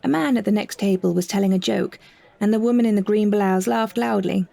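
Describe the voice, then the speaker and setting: A woman narrates a short story at a measured pace of telling, in scripted literary prose. Her voice sits at an ordinary female pitch, with noticeable creak and a husky, breathy grain. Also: dark tone, a faint crowd.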